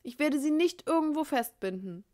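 A woman voicing a nervous young male cowboy in a storybook reading, higher and stammering. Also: expressive, moderate pace, mellow. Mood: defiant.

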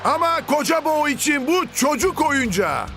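A man voicing an excited, dramatic football match commentator in a children's cartoon. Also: very high-pitched, normal speed, creaky, heavily acted. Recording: faint music behind.

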